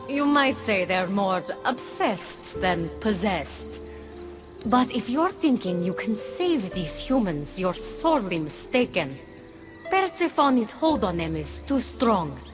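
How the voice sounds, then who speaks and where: A woman's voice: medium pitch, big pitch swings, slow. Cool, sly female conspirator over music. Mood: cool, commanding. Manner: dry, condescending, controlled. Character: cool, sly woman plotting poisonings as a rebel ally. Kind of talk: video game cutscene acting. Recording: music behind the voice.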